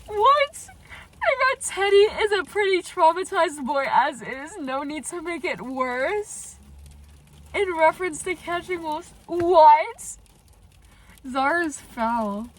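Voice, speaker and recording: A woman's voice: very high voice, takes it slowly, low-quality recording. Stuffy-nosed woman gushing in her car. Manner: gushing and excitable, many filler "like"s. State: stuffy and nasal from a cold. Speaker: channel host reacting to fan fiction. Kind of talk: casual reaction vlog. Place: inside a parked car.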